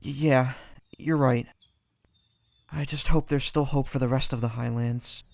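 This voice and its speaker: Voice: medium pitch, fairly even intonation, unhurried, gravelly, darkish tone, no echo. An actor playing an anxious, earnest young boy in a fantasy audio drama, hesitant and stammering. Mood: dazed, subdued.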